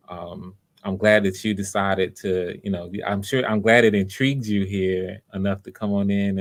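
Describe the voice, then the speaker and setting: A man hosting online auditions in a casual, conversational way, with an enthusiastic and encouraging manner. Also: fairly low pitch. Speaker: host of online voice auditions, casting for a project.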